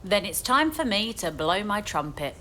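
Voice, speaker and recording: Bright, cheeky angel played by a woman. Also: medium pitch, big pitch swings, normal speed. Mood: breezy. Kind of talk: audio drama acting.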